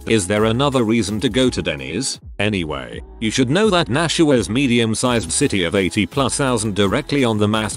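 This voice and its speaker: A man reading short first-person anecdotes as scripted narration for an online story compilation. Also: lowish voice.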